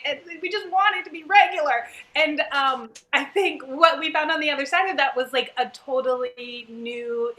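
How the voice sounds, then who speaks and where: A woman's voice: fairly high pitch, sharp tone. A woman actor speaking casually and effusively on an online panel, repeating words for emphasis. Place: online video call.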